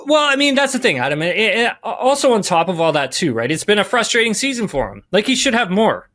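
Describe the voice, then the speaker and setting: A man's voice: high voice, big pitch swings, brisk, a bit bright. A man giving podcast sports analysis, emphatic, rephrasing and repeating key words as he goes.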